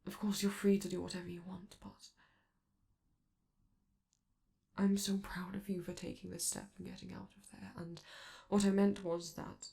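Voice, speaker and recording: A woman playing a flamboyant, protective robot entertainer in an intimate ASMR comfort roleplay, speaking softly and theatrically with affection, hesitance and breathy drops into whispers. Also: medium pitch, level tone, a little fast, close to an everyday voice. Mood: tender, hesitant.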